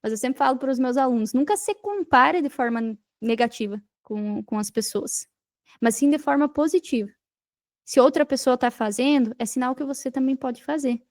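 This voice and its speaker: A woman's voice: high-pitched, normal speed, dry recording, studio quality. A Brazilian woman giving motivational talk as a podcast guest, emphatically repeating her points and speaking straight to the listener. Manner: emphatic, repeats phrases, addresses listener directly. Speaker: podcast guest, digital marketer.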